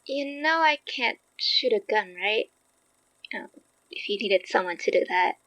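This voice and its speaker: A woman's voice: mid-range voice, expressive, unhurried, pushed and bright, no echo, full character voice, low-quality recording. A woman acting an earnest, careful, slightly anxious researcher in a naturalistic audio drama. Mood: worried.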